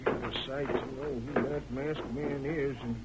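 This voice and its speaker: An actor playing a corrupt, placating Old West sheriff, with American folksy speech and a wheedling manner, in 1930s radio style. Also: male voice, mid-range voice, steady tone, a little slow, loud music behind the voice, natural voice. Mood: sly. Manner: wheedling, folksy Western drawl. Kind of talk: 1930s Western radio acting.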